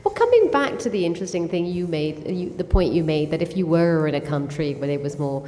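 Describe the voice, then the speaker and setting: A woman's voice: lowish voice, expressive, fairly quick, mellow, slight background noise. A woman moderating a book talk at a festival, asking long questions thoughtfully and hesitantly with fillers. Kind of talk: festival stage conversation. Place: festival hall. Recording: long hall reverb.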